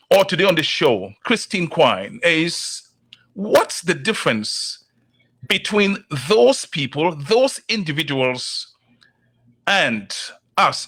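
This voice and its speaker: A man hosting a talk show, opening with a slow, emphatic motivational monologue before interviewing his guest. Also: on the high side, fairly bright tone, breathy edge. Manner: slow, deliberate, emphatic delivery.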